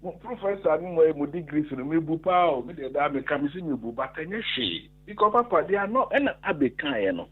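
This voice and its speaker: A man's voice: fairly high pitch, sing-song, fairly quick, hardly any pauses, slightly rough. A man with a Ghanaian accent, calling into a radio talk show and arguing emphatically while mixing Twi and English. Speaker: radio caller. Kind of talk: phone-in discussion. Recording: tinny phone line.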